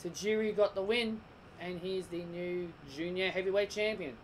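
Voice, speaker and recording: Croaky-voiced man rambling a review. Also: sits high, fairly even intonation, moderate pace, slight background noise, rough recording. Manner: rambling, restarts and corrects himself. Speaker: wrestling reviewer. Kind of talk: casual video review.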